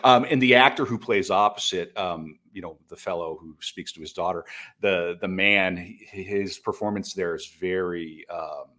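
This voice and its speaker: A man's voice: low voice, normal speed, pushed and bright. A man co-hosting a podcast, discussing film casually with thoughtful, hesitant phrasing. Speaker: podcast co-host.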